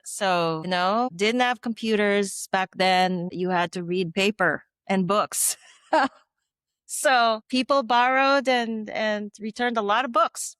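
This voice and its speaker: A woman's voice: medium pitch, takes it slowly, a bit bright, clear, resonant tone, no room sound, studio quality. A middle-aged woman from the Philippines, a former tech executive giving articulate, reflective interview answers with the odd light laugh.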